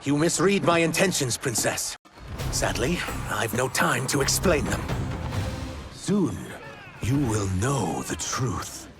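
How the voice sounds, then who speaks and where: An actor playing a noble, defiant reptilian warrior in a dramatic video game, speaking formally and with resolve. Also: male voice, mid-range voice, sing-song, slow pace. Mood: solemn, resolute. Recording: loud music under the voice.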